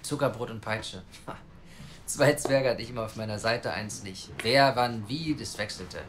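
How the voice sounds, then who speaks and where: A man's voice: fairly low pitch, unhurried, a bit bright, a little hiss or hum. An actor playing a brash, defiant young man with a coarse mouth in a naturalistic audio drama. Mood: smug, storytelling. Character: brash, provocative young man pleading to adopt a child.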